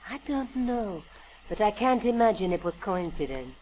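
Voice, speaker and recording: A woman's voice: mid-range voice, big pitch swings, moderate pace, a little mellow, a little hiss or hum, natural voice, low-quality recording. An actress playing a self-assured female journalist in an adventure game, speaking in a dry, matter-of-fact way. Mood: businesslike. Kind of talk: scripted adventure game dialogue. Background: game music.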